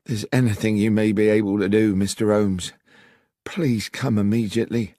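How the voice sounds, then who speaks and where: A man's voice: fairly low pitch, fairly even intonation, normal speed, mellow, no echo, clean studio sound, voice pushed up, close to an everyday voice. A man voicing an earnest, worried older village publican with a British accent, plain-spoken and insistent. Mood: distressed.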